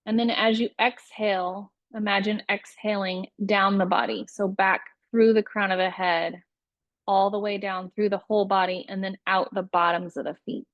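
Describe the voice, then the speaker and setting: A woman teaching a guided yoga nidra meditation, giving soothing instructions with gentle pauses between phrases. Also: mid-range voice, steady tone, dry recording, lo-fi sound.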